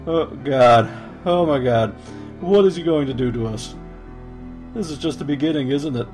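A man's voice: fairly high pitch, normal speed, a little mellow, soft background music, close to an everyday voice. A man playing a bitter, protective young skeleton brother in a comic dub, talking urgently and informally. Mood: bitter, frightened. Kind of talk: comic dub dramatic acting.

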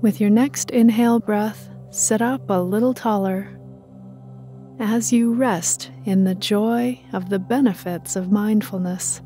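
A woman leads a guided meditation at a very unhurried pace. Her medium-pitched voice is mellow and relaxed, calm and measured in delivery. Soft music plays behind her.

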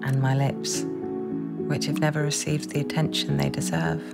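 An actress in her thirties playing a wistful, gently self-assured dying young woman, speaking softly. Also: very deep voice, sing-song, normal speed, exaggerated voice. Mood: wistful, playful. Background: prominent music.

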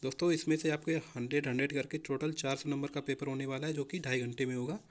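A man's voice: mid-range voice, steady tone, quick pace. A young man explaining exam preparation informally as a coaching teacher, often addressing listeners as friends.

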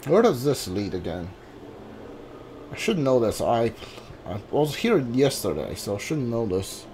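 A man's voice: medium pitch, sing-song, unhurried, darkish tone, slight background noise. A man giving casual commentary on a game he plays, often muttering questions and asides to himself. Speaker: gamer streaming a blind playthrough. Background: game music.

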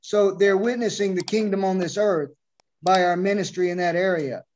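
A middle-aged man, a missionary, speaking devoutly and haltingly about his ministry in a video call interview. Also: high voice, steady tone, a little mellow, slight background noise. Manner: devout, hesitant speech with many restarts. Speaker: missionary, orphanage founder. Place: home room, beside his wife. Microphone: video call webcam. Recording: muffled lo-fi video call audio.

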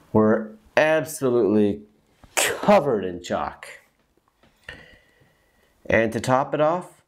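A man in his thirties reading a children's story aloud with dramatic, deliberate emphasis and stretched words. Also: fairly low pitch. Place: small room at home, indoors.

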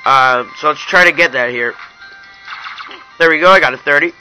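A young man reviews an app in a casual, informal style. His voice sits a little high for a man and is very bright and forward. He addresses his viewers directly as he demonstrates. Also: normal speed, soft background music, poor audio.

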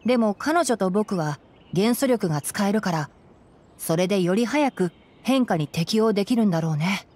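Casting: woman as boyish young ranger scholar, mid-range, gentle, analytical, anime game style. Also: medium pitch, expressive, close to an everyday voice. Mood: explaining. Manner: measured, explanatory, soft-spoken. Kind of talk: Japanese anime game voice acting.

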